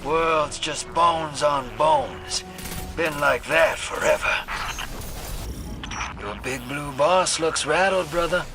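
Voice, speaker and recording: A man's voice: fairly high pitch, takes it slowly, pauses often, background noise. An actor playing a cocky, folksy, wisecracking rogue gunslinger in a video game, with a slangy, teasing showman's delivery. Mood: wry, cocky. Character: cocky, roguish gunslinging hustler, long-lived, folksy and wisecracking. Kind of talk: animated video game acting. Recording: music behind the voice.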